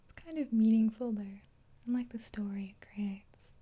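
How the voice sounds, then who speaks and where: A woman in her twenties whispering gently and unhurriedly in a casual, rambling ASMR roleplay, close to the mic. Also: a little high, steady tone, moderate pace. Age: 20s. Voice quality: breathy whisper. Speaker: ASMR roleplay performer as makeup artist. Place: small indoor room, dark backdrop.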